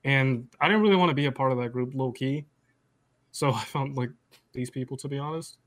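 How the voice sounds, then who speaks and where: A man's voice: mid-range voice, a little fast, no echo. A young man in a casual group chat, slangy and often restarting his phrases. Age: young adult. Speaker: participant in a group call.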